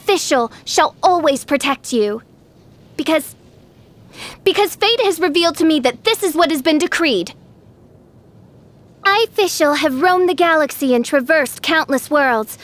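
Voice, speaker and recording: A woman voicing a grandiose, theatrical teenage girl who styles herself a princess, speaking in pompous archaic phrases as a video game character. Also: sits high. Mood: grandiose, fateful. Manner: pompous, archaic, dramatic royal speech.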